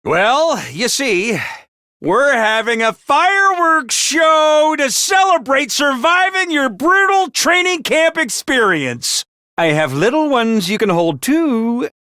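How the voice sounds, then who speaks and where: A man voicing a peppy, bossy little mascot commanding officer in a video game, with a high cartoonish voice and theatrical, jaunty drill-sergeant delivery. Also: very animated, slow, bright, forceful tone, dry recording, studio quality, full character voice. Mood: gleeful, rousing. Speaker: voice actor for a video game character. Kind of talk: animated video game voice acting.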